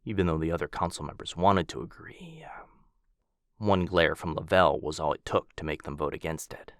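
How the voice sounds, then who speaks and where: A man playing a gentle, earnest vampire aristocrat in an intimate ASMR roleplay, speaking softly and formally with tender, hushed dips. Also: extremely low pitch, expressive, a little fast, dry recording, studio quality. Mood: bitter, restrained.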